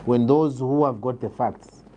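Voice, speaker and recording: A man with a Zambian accent answering political interview questions in a measured, formal, emphatic way. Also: medium pitch, mellow, lo-fi sound, a little hiss or hum. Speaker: political interviewee, party leader.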